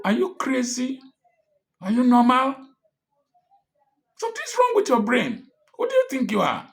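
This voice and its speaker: Slow, defiant older Nigerian man. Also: medium pitch. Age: 60s. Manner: emphatic and defiant, rising to angry outbursts. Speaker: commentator. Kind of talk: impassioned political address to camera.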